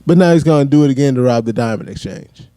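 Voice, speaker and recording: A man's voice: mid-range voice, expressive, fast, warm tone, no room sound, studio quality. A man co-hosting a film review podcast, talking casually and analytically, with frequent restarts. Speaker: podcast co-host, film critic. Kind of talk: casual movie review chat.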